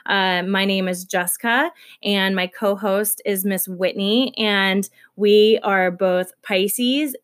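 A woman in her thirties from Kentucky and Detroit, co-hosting a casual podcast in a fairly even, chatty way with many fillers. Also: mid-range voice, slow. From Kentucky, raised also in Detroit. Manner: self-described monotone, chatty with frequent fillers and laughs. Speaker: podcast co-host.

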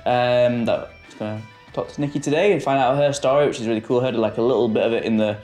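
A man hosts a casual podcast and asks his guest easygoing questions. Faint guitar music plays quietly under his voice. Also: medium pitch, steady tone, quick pace. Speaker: podcast host.

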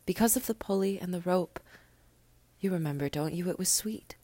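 A woman reading an audiobook, voicing a gentle, grave little boy prince who speaks softly and often in a whisper. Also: on the low side, normal speed, no echo. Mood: wistful. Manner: soft, wistful, often whispered.

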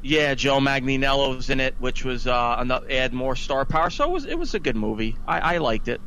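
Halting man in casual podcast chat. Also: mid-range voice, animated, bright, forceful tone, slight background noise. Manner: halting, repeats himself. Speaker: podcast co-host.

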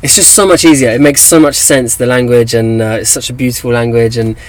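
Young English man, halting casual talk. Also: medium pitch, steady tone. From England. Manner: hesitant, many fillers and restarts. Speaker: guest, activist.

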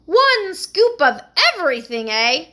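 A woman's voice: high-pitched, very animated, measured pace, sharp tone, pitched above the performer's own voice, exaggerated voice. A woman voicing a gum-chomping shopkeeper lady with a New York accent and a pushy, wisecracking manner. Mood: brassy.

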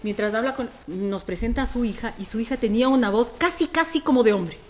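A woman with an Ecuadorian accent and a deep, strong voice, chatting casually and colloquially as a radio presenter telling stories in an animated way. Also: quick pace. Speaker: radio presenter being interviewed. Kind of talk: casual podcast chat. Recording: music playing behind the voice. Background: music.

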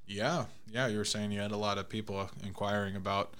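Podcast host, male, bright ribbing banter. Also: fairly low pitch, level tone, brisk, clear, resonant tone. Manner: joking, laughing, plays soundboard clips. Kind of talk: casual chat.

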